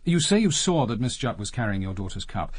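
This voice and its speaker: An actor with a British accent playing a courteous, persistent police inspector questioning people in a radio drama. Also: male voice, medium pitch, sing-song, no room sound. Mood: methodical. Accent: British English. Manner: measured, questioning, polite.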